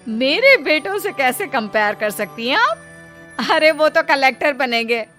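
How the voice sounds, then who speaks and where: Lady doing a snooty bragging auntie, high voice, talks down to poorer relatives, slow storybook drama, music behind. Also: sing-song, bright, forceful tone, exaggerated voice. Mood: haughty. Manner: condescending, scornful. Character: haughty, boastful married aunt, sneering at poorer relatives.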